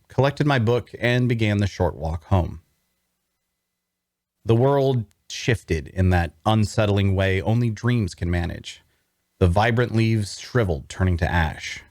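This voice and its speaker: A man's voice: fairly low pitch, darkish tone, no room sound, clean studio sound. An actor playing a sardonic, haunted necromancer outcast who narrates his own tale in a wry, theatrical way for an audio drama. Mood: brooding, haunted. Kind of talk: first-person dramatic narration, scripted.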